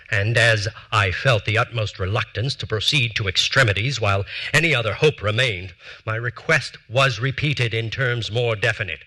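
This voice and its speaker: An actor in his forties reading letters as a proud, aggrieved statesman, in formal, measured, stiffly offended speech on a theater stage. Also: mid-range voice, sharp tone. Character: proud aggrieved male statesman demanding satisfaction.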